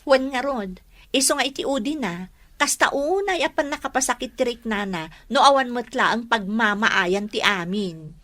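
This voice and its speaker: A woman's voice: fairly high pitch, expressive, moderate pace, fairly bright tone. A woman playing a gossipy, concerned young friend, talking sympathetically in an Ilocano radio drama.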